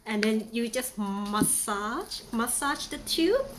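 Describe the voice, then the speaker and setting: A woman's voice: on the high side, animated, slow, noisy background. A young woman from Thailand with a Thai accent, chatting playfully through a casual how-to demonstration with many fillers. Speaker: vlogger.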